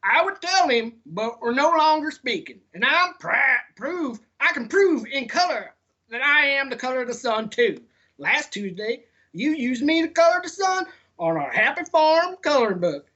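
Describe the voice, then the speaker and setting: A man voicing an indignant, boastful yellow crayon in a children's storybook, with emphatic, aggrieved delivery. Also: extremely high pitch, big pitch swings, moderate pace, pitched above the performer's own voice, full character voice. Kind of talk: children's storybook read-aloud. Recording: dull lo-fi sound.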